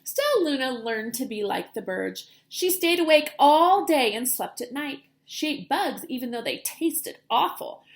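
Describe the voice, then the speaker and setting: High-voiced woman reading a children's storybook expressively. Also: gravelly. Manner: expressive, dramatic storyteller delivery. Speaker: teacher. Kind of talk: children's picture book read-aloud.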